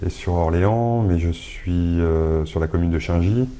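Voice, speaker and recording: A man's voice: low voice, a little slow, dark tone. A man from Brittany, a shop owner, answering interview questions hesitantly, with many filler sounds and restarts. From Brittany, France.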